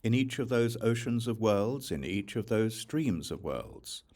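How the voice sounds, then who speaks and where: A man reads a sacred text aloud as an audiobook, in measured, solemn phrases with deliberate pauses. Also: lowish voice, lively intonation, a little mellow. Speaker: audiobook reader of scripture. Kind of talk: scripted reading of a Buddhist sutra.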